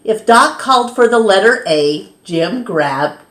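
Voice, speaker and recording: A woman reads a children's story aloud as a storytime host, in an expressive, measured way that dramatizes key words. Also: medium pitch. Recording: roomy, echoing sound.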